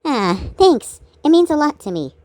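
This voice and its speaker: A woman's voice: high-pitched, big pitch swings, normal speed, mellow, no room sound. A woman voicing a sweet, dreamy female flower-lover in an amateur cartoon. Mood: dreamy, pleased.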